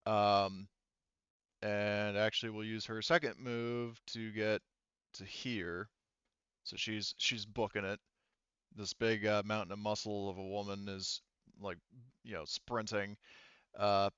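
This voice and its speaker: A man acting as game master for a tabletop game over voice chat, pausing often with ums as he works through the rules. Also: lowish voice, fairly bright tone, clean tone, dry recording, muffled recording. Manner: halting, many ums and restarts while narrating rules. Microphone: headset.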